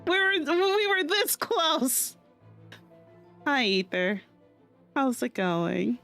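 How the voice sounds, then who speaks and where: A woman game streamer giving casual, expressive reaction commentary and thinking aloud as she plays. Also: sits high, very slow. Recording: game music behind.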